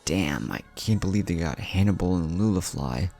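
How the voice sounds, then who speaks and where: A man's voice: low voice, animated, normal speed, vocal fry, dark tone, heavily acted. A man voicing a wounded, defiant male superhero in a story, strained and urgent. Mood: desperate.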